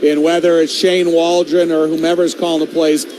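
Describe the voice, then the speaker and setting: A man's voice: on the high side, level tone, fairly quick, warm tone, a little hiss or hum. An American man giving conversational, explanatory color analysis during a live football broadcast. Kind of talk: analytical live sports commentary. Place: stadium broadcast booth.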